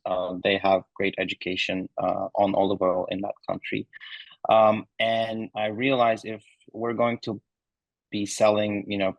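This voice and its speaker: A man in his thirties from Turkey, answering interview questions in a relaxed way with many ums and uhs. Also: lowish voice, dry recording, muffled recording. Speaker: founder and guest. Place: remote recording.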